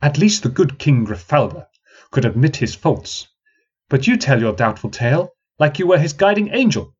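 A mocking young swordswoman, as a male narrator puts her on, sharp-tongued and sarcastic. The husky voice is pitched up well above the narrator's own to play her. The recording has a dull, lo-fi sound. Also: sing-song, moderate pace. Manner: sarcastic, mocking, playing to the crowd.

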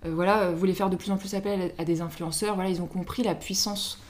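Low-pitched Frenchwoman chatting informally. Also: steady tone, fast, darkish tone. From Paris, France. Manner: informal, chatty, self-quoting. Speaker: interview guest, social media influencer. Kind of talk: casual conversational interview.

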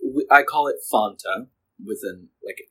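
A man's voice: mid-range voice, normal speed, bright, forceful tone, a little hiss or hum. A young man co-hosting a podcast in casual chat, playful and laughing often. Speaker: podcast co-host. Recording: poor audio quality.

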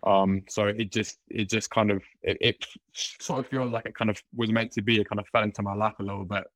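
A man's voice: fairly low pitch, flat delivery, brisk, fairly bright tone, dry recording. A man from London with a London accent, a podcast guest talking casually, with many restarts and fillers. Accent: London English. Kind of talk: casual interview chat.

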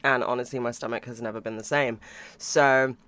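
A young man hosting a podcast, chatting casually about books and TV, swearing freely and laughing now and then, his voice a bit croaky. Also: mid-range voice, fairly even intonation, brisk, sharp tone, no room sound. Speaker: podcast host.

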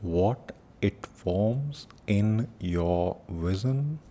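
Man doing guided meditation, super slow, dark mellow voice, long pauses, some rumbly noise behind him. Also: lively intonation. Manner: soft-spoken instruction with long pauses. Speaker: meditation teacher. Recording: noisy with low rumble.

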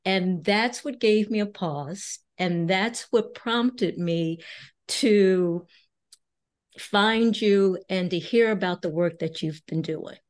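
A woman interviewing a guest, asking polite questions in a careful, deliberate way. She speaks slowly, with mid-range pitch and the occasional um. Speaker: interviewer, host.

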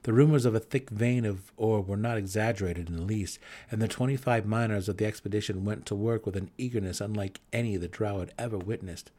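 A man's voice: lowish voice, fairly quick, few pauses, mellow. A man reading a scripted fantasy story as a dramatic audiobook narrator.

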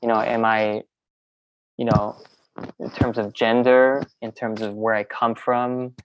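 A woman filmmaker and teacher, in a relaxed interview, who talks in loose, rambling sentences full of fillers and restarts. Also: very deep voice, measured pace, highs cut off, a little hiss or hum. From French Venezuelan, raised in New York. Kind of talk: casual interview conversation.

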